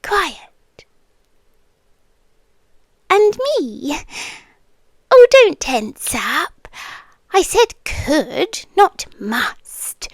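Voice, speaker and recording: A British woman voicing a possessive, softly menacing serpent monster girlfriend in an intimate ASMR roleplay, often slipping into whispers. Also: sits high, big pitch swings, drawn-out words, pauses often, no room sound, full character voice. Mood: coaxing, tender, then calm, quietly menacing. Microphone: close microphone, dry.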